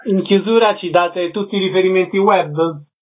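A man's voice: high voice, moderate pace. A man hosting a radio show, putting questions to his guests in an interview. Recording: dull lo-fi radio audio.

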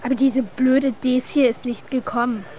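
Brash, high-pitched cheeky schoolgirl. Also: fairly even intonation, measured pace, mellow, slight background noise. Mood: gleeful, scheming. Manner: cheeky, childlike, slangy. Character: Ulle, schoolgirl, brash, chatty, gleefully mean. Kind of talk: German radio play for children.

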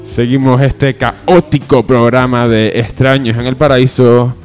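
A young man hosts a college radio chat at an ordinary pitch. He rambles, corrects himself mid-sentence and jokes around, with faint music under his voice. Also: level tone, measured pace. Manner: rambling, self-correcting, joking. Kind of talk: casual chat.

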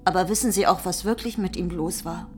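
A woman's voice: fairly low pitch, brisk, soft background music, natural voice. An actress in a German radio drama playing an anxious but defiant farm woman, earnest and insistent. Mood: subdued, pleading.

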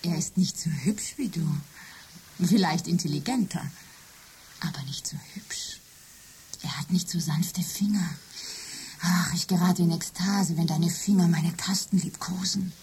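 A woman's voice: fairly low pitch, measured pace, few pauses, dark tone, breathy edge. A woman voicing a possessive, seductive computer that purrs and whispers with menace. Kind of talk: radio play.

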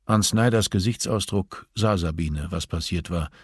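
Male audiobook narrator for thriller prose: low register, husky texture, very dry close sound. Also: moderate pace, no room sound.

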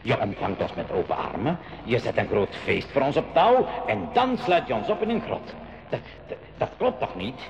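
A man's voice: medium pitch, big pitch swings, normal speed, creaky, dull audio, background noise. An actor playing a bewildered, civil middle-aged professor in a radio drama, hesitant and repeating his words. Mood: puzzled, indignant. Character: bewildered, civil professor uncle, adventurer taken captive. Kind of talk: 1980s school radio drama acting.

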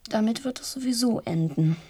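A woman's voice: on the high side, expressive, a little fast, mellow, husky. An actress playing an anxious, weary woman full of dread in a radio drama.

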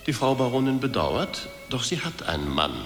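A man's voice: mid-range voice, very animated, moderate pace, close to an everyday voice. An actor playing a stiffly formal, dismissive male servant in a radio drama. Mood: politely dismissive. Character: formal, dismissive male servant announcing his mistress's refusals. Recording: long hall reverb, loud music behind.

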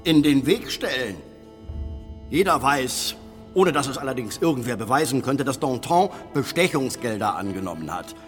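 A man's voice: medium pitch, expressive, moderate pace, long reverb tail, faint music behind, close to an everyday voice. An actor playing an indignant, sarcastic official standing his ground in a radio drama. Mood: scornful protest. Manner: sarcastic, protesting.

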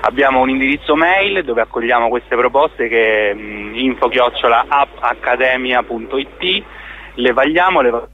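A man's voice: mid-range voice, normal speed, slight background noise. A man explaining things in an unscripted radio interview as a theatre company member, often hesitating and searching for words. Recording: dull, lo-fi radio sound.

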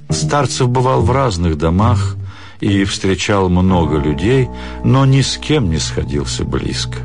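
Male actor for radio story narration: low register, measured delivery, deliberate pauses, music underneath. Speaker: actor reading a short story. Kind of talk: dramatic literary narration for radio.

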